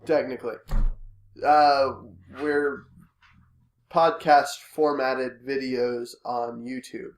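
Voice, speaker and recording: A man's voice: medium pitch, slow, roomy sound. Stuffy-nosed guy with a cold hosting a chill podcast, lots of uhs, kinda rambly. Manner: relaxed, hesitant with many fillers. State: congested with a cold, coughing. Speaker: podcast host. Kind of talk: casual chat.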